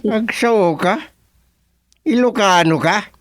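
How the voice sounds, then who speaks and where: A Filipino man playing a baffled, excitable village father-in-law in a radio comedy drama, exclaiming in drawn-out phrases. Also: high-pitched, no echo. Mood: bewildered.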